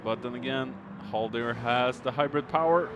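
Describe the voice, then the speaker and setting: A man giving excitable, informal live race commentary with hesitations, his voice strained and starting to give out. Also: medium pitch, moderate pace, music in the background. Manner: exclamatory, informal, peppered with hesitations. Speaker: esports racing commentator.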